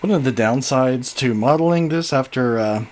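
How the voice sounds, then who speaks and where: A man's voice: medium pitch, normal speed. A man giving a casual, conversational hands-on gear review in a matter-of-fact manner. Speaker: product reviewer. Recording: synthesizer sounds behind the voice. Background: synthesizer music.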